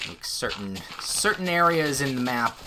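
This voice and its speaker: A man's voice: mid-range voice, very animated, normal speed. A man with a nasal, slightly whiny voice, giving rambling, self-deprecating commentary as he plays a game on stream. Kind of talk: casual gameplay commentary. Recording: loud noise with keyboard clicks.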